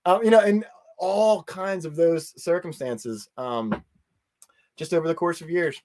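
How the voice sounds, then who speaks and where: A man talking casually as an interview guest, telling things in a rambling, animated way with quoted asides. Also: fairly high pitch, measured pace.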